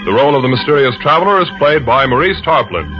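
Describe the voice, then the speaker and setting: A man's voice: medium pitch, expressive, fast talker. A man making a formal announcement in 1940s radio style. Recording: muffled old radio recording, organ music.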